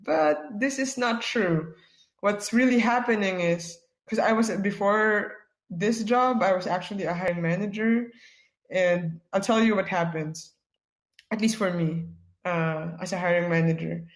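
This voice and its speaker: A woman's voice: medium pitch, measured pace. A woman from the Philippines, giving a casual webinar talk as a guest speaker, chatty and full of "like" and "yeah" fillers. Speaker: guest speaker, product manager. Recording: echoey room.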